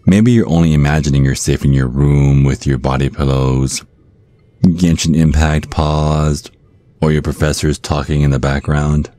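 A man's voice: very deep voice, fairly even intonation, moderate pace, warm tone, no room sound. A man playing a suave, predatory vampire stage magician in a hypnotic ASMR roleplay, taunting and domineering. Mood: teasing.

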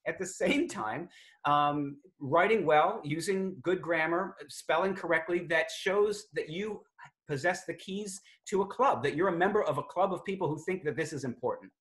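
Lexicographer type, middle-aged, Boston accent, slightly high voice, thoughtful, on a video call. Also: male voice, fairly bright tone. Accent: Boston, non-rhotic. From Greater Boston. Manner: articulate, measured, with frequent fillers. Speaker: lexicographer guest. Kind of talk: thoughtful conversational explaining. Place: home study with bookshelves.

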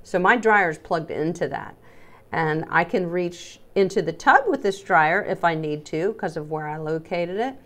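A woman's voice: fairly low pitch, lively intonation, normal speed, a bit bright. A middle-aged woman giving a casual, chatty explainer to viewers, speaking emphatically and directly to them. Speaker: dog groomer presenting salon setup.

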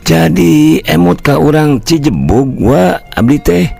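A man's voice: fairly high pitch, lively intonation, measured pace, vocal fry, music in the background, voice pushed up, exaggerated voice. A man with a Sundanese accent voicing an eager, easily surprised younger male villager who exclaims and questions in a storybook tale. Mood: humble. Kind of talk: storybook dialogue.

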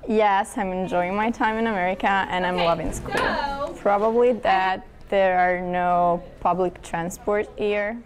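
A woman's voice: medium pitch, fairly even intonation, measured pace, crowd noise. A teenage young woman from Italy with an Italian accent, answering as an exchange student. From northern Italy. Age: teens. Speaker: exchange student being interviewed.

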